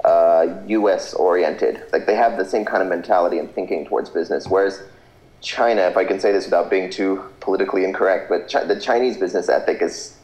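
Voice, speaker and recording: A man's voice: low-pitched, a little mellow, echoey room, poor audio. A man on a video call, answering interview questions casually with frequent hesitations and restarts. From Philippines. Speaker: Skype guest.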